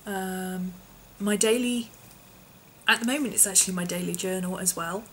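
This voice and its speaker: A woman, her voice hoarse and husky from an infection, chatting casually in a vlog with lots of ums and small laughs. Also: mid-range voice, normal speed, fairly bright tone, a little hiss or hum. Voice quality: husky, often whispery. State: hoarse, voice partly lost from infection. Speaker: vlogger.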